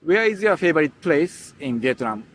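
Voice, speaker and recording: Lively male street interviewer. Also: fairly high pitch, normal speed, slight background noise. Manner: upbeat, short questions. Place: beach outdoors.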